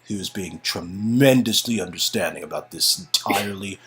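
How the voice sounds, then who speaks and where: A man with a husky voice narrating a story game and improvising dry, halting, sarcastic asides. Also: low voice, fairly bright tone. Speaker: narrator and reader.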